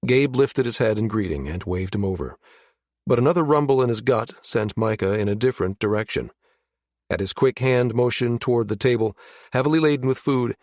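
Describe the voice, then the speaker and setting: A man reading a science fiction audiobook in a steady, measured narration. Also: medium pitch, no room sound, clean studio sound. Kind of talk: scripted narration.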